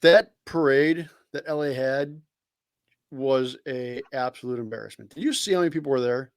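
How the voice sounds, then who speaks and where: A man's voice: mid-range voice, moderate pace, dry recording, studio quality. A man co-hosting a casual podcast, giving blunt opinions in a laid-back way. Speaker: podcast co-host.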